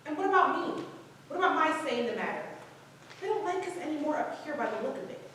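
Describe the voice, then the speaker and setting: A woman's voice: sits high, big pitch swings, quick pace, fairly bright tone, recorded from a distance. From off in a very echoey hall comes a woman acting an outspoken young female student in a stage drama. She sounds impatient and challenging, with faint noise around her. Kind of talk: staged play reading, dramatic dialogue.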